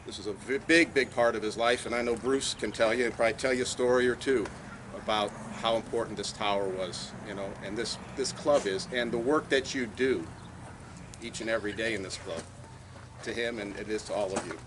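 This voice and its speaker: A man's voice: lowish voice, brisk, background noise. A man giving a speech at an outdoor dedication, telling a story and acting out phone calls. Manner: anecdotal, acts out phone calls in quotes. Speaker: speaker at a tower dedication. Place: outdoors at a radio club site.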